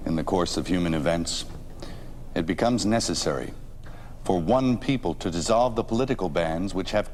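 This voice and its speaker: A man's voice: deep voice, a little mellow, a little hiss or hum. A man giving a solemn dramatic reading of a historical document. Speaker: reader.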